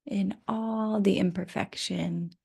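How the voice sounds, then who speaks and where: A woman guiding a restful yoga nidra meditation in a gentle, unhurried manner with long pauses, her voice drifting into breathy near-whispers. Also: mid-range voice, fairly even intonation. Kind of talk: guided rest meditation.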